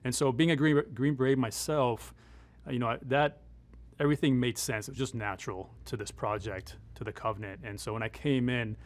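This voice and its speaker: A middle-aged man speaking as a military advisor in an interview, explaining things in a conversational, measured, matter-of-fact way. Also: medium pitch, fairly even intonation. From United States, born in Afghanistan. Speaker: military advisor and former Green Beret.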